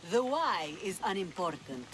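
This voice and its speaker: A woman's voice: medium pitch, sing-song, measured pace, slight background noise, rough recording. An actress playing a sly, imperious, treasure-loving pirate queen of mature years, with a languid, theatrical delivery in a video game cutscene. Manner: languid, commanding, teasing, theatrical.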